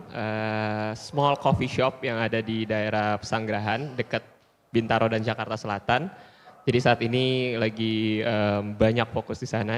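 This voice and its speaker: A man who works as a barista and runs a small coffee shop, introducing himself briefly and modestly. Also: mid-range voice. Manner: modest, brief, laughs a little. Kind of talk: self-introduction on a panel. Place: conference hall. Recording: hall reverb with faint room noise.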